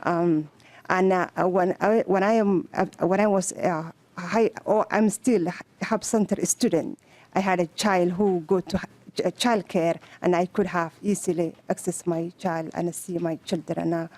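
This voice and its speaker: A woman from Somalia with a Somali accent, testifying at a legislative hearing in halting speech with many fillers and restarts. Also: on the low side, fairly even intonation, a little mellow. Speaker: testifying adult student. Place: legislative committee hearing room. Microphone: table microphone.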